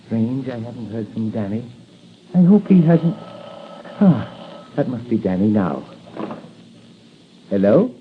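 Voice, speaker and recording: A man's voice: mid-range voice, big pitch swings, takes it slowly, pauses often. An actor playing an anxious, fatherly scientist in 1940s radio style, fussy and given to repeating his words. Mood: concerned. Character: anxious, devoted scientist doctor, fatherly helper. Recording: tinny, noisy 1940s radio broadcast.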